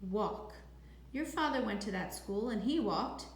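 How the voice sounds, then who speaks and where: A woman reading a storybook, voicing a gruff, brusque old grandfather with a blunt, clipped manner. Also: sits high, expressive, measured pace. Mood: curt, instructing. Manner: clipped, blunt, matter-of-fact. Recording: echoey room with faint noise.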